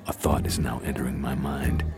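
A man's voice: extremely low pitch, flat delivery, a little fast. An actor playing a world-weary barfly who tells his tale in hardboiled radio drama style, laconic and musing. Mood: intrigued. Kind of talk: radio drama narration, hardboiled first person. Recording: loud music bed behind the voice.

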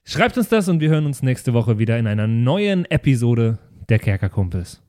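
A man's voice: medium pitch, very animated, moderate pace, warm tone. A man, a podcast host talking casually and informally to listeners in a self-mocking way. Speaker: podcast host and player of an improvised tabletop role-playing show. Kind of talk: casual chatty podcast outro.

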